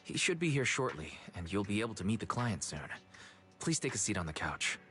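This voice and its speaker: A man's voice: on the low side, big pitch swings, fairly quick, bright, forceful tone. An actor playing a polite, easygoing young man with a mild, courteous manner in a video game. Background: game music.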